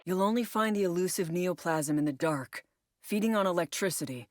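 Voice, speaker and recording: Low-voiced military woman, voiced by an actress. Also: lively intonation, brisk, dry recording, clean studio sound, close to an everyday voice. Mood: focused, commanding. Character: female military operative briefing squad on alien parasite missions. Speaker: mission briefer and incursion leader. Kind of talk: scripted video game mission briefing.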